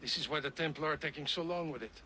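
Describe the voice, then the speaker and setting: A man's voice: a little high, fast, a little hiss or hum. An actor playing a stern, condescending male mentor in a video game cutscene. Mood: scornful. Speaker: mentor of the brotherhood. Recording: game sound with loud ambience.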